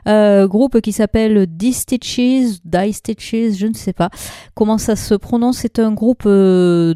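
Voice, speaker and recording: A woman's voice: fairly high pitch, dark tone, no room sound, clean studio sound. A woman hosting a music radio show, presenting tracks casually with many hesitations and fillers. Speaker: radio host.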